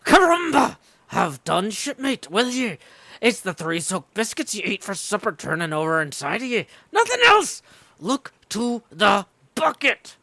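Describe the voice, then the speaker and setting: A man's voice: a little high, unhurried, creaky, few pauses, dry recording, pitched below the performer's own voice, heavily acted. A man doing a bellowing, scornful old-time sailor. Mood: scornful shouting. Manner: shouting, mocking, bossy. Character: gruff, scornful male sailor on night watch.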